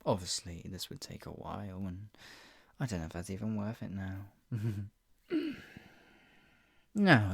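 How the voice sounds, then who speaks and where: A woman playing a menacing, obsessive yandere captor in an ASMR roleplay, husky and taunting, swinging between mock pity and threat, often dropping into a breathy whisper. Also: a bass voice, full character voice. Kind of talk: ASMR roleplay, improvised monologue.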